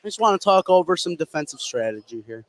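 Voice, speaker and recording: A man giving conversational color commentary on a live high school basketball game from inside a gym. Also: big pitch swings, fast talker. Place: indoor gymnasium commentary position. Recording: live broadcast with gym crowd noise.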